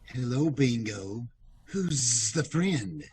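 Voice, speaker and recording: A man playing a surly, curt gangster with a husky voice in an old-time radio mystery. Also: mid-range voice, expressive, a little mellow, slight background noise, rough recording. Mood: curt, hostile. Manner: curt, menacing, unhurried. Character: surly, slangy male gangster.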